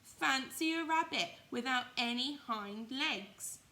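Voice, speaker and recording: A woman's voice: sits high, lively intonation, slow, pushed and bright, voice pushed up, full character voice. A woman voicing a brash, teasing male wild rabbit in a children's storybook, emphatic and challenging. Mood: scornful.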